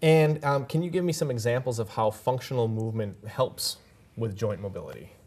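Casting: male talk show host, thirties, mid-pitched, conversational interview delivery. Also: lively intonation, a little fast, darkish tone. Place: small TV studio set, indoors.

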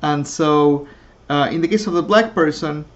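A woman who grew up speaking Russian, hosting an interview over a video call in a measured, reflective way. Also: deep voice. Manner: measured, reflective, thinks in analogies. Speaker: host. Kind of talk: interview conversation. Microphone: webcam on a video call. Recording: dull lo-fi video call audio.

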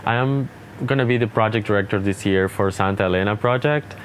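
A young man from Uruguay introducing himself as project director, friendly and halting, speaking outdoors into a lapel mic. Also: fairly low pitch, slight background noise. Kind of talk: short staff introduction to camera. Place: outdoors beside a house, wooded yard.

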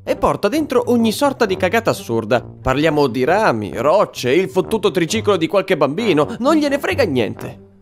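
A man with a high voice narrates a comedy cartoon in a lively, swooping delivery. He sounds exasperated and emphatic, swearing freely, with music behind him. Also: normal speed. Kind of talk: comedic scripted storytelling narration, animated dub.

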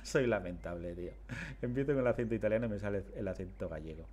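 A man's voice: fairly low pitch, fast talker, a little mellow, a little hiss or hum. A man, commenting casually on his game through a headset mic, chatty and self-mocking. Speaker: game streamer. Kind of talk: casual live commentary on gameplay.

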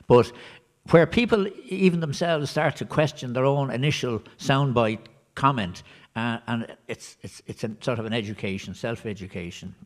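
A middle-aged man answering a question on a conference panel in a function room, reflective and hesitant with restarts. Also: medium pitch. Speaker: panel member for community radio.

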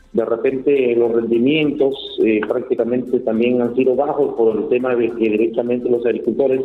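A Peruvian rice farmer speaking in a phone interview, hesitant, with many fillers and restarts. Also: male voice, medium pitch. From Peru. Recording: echoey tinny phone line.

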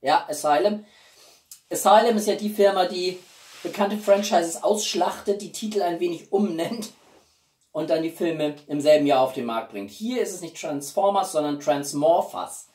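A man in his forties chatting casually to viewers as a video host, with an expressive, emphatic delivery and dramatic pauses. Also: high voice. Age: 40s. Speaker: YouTube host. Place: small living room indoors. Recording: roomy echo, distant mic.

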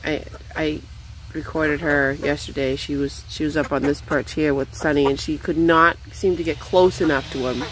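A woman's voice: steady tone, moderate pace, slight background noise, rough recording. Chatty young woman vlogging outdoors. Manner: chatty, rambling, coos in a high voice at the chickens. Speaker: vlogger feeding her backyard chickens. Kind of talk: casual off-the-cuff vlog chat. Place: outdoor chicken run, backyard.

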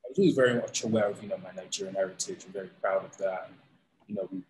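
Relaxed man, low voice. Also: racing, slight background noise, poor audio. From England. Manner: relaxed, animated storytelling with fillers. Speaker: founder of a fighting league, guest. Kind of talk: casual interview. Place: indoors, plain room. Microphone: studio mic on arm, video call.

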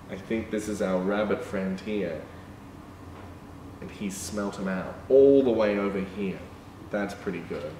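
A young man reading a picture book aloud to children as a storytime presenter, in an animated, exclaiming manner. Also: on the low side, slow, pauses often. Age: young adult. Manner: animated, exclaiming, with excited gasps and asides. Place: indoor room with painted backdrop. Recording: roomy, distant mic, background noise.

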